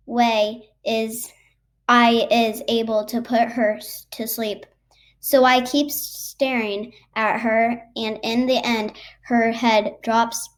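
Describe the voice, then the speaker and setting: A woman reading a children's book aloud haltingly, stumbling over and repeating words. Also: a little high. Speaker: storybook reader.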